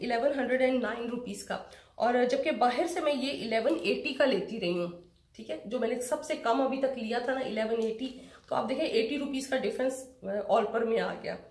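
A woman's voice: on the high side, roomy sound, slight background noise. A middle-aged woman chatting through a shopping vlog, explaining prices step by step. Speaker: vlogger. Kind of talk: casual shopping vlog chat. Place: home room with shelves, indoors.

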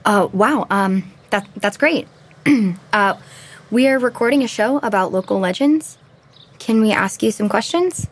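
A woman's voice: mid-range voice, animated, measured pace. An actress playing an earnest teenage girl podcaster in an audio drama, politely interviewing people. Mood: polite, curious. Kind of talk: naturalistic audio drama acting.

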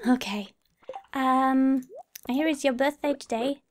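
A woman's voice: sits high, a little slow, slight background noise. A woman giving casual let's play commentary as a host, chatty and a little hesitant, musing to herself. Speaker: gaming video host. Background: game music.

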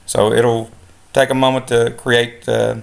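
A man giving a step-by-step software lesson over a screen recording, relaxed, with many filler sounds. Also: mid-range voice, unhurried, gravelly. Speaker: instructor.

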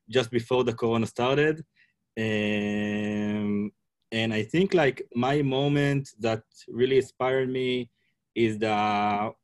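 Slow, halting Israeli-accented man. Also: mid-range voice, no room sound. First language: Hebrew. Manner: halting, searching for words. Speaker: panelist. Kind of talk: casual panel talk. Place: office room, indoors. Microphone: laptop webcam video call.

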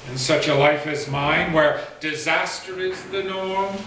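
Slow-speaking male poet in an echoey room. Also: a little high, bright, forceful tone. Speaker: poet performing at a reading. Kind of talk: theatrical live poetry recital with spoken introduction. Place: small indoor performance space. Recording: echoey, noisy room, distant live pickup.

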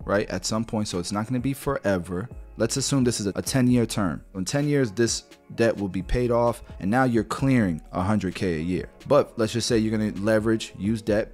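A man's voice: lowish voice, a little mellow. A man in his thirties giving a blunt, direct finance lesson to camera from a small home studio, speaking close to a podcast mic. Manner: direct, blunt, repeats "guys" and "right". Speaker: barber business mentor. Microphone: podcast mic near mouth.

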